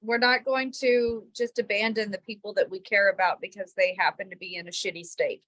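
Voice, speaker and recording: A woman's voice: medium pitch, steady tone, fairly quick, pushed and bright, no echo, low-quality recording. A woman co-hosting a podcast, chatting in an easygoing, agreeable way over a video call. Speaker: podcast co-host. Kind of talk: casual chat. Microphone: recorded over a video call.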